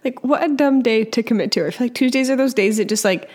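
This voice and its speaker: A young woman with a slightly hoarse, scratchy voice from a cold, hosting a casual educational podcast and chatting with lots of fillers. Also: fairly high pitch, fast talker, darkish tone. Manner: chatty, with many fillers and restarts. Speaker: podcast host and early childhood educator.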